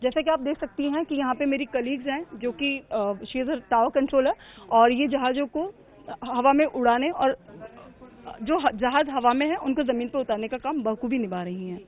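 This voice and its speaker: High-voiced woman, phone-narrow sound. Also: normal speed. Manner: earnest, mixes English phrases into Hindi. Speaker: air traffic control manager. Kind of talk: news interview answers.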